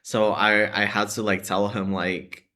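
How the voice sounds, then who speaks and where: A man's voice: fairly low pitch, level tone, a little slow, bright, forceful tone. A young man talking casually to camera in a vlog, hesitant and full of "like" and "sort of" hedges. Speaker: vlogger.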